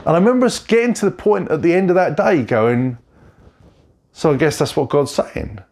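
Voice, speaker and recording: A pastor, telling his story in a reflective, halting way and often correcting himself. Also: on the high side, sing-song, darkish tone. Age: 40s. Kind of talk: personal testimony sermon.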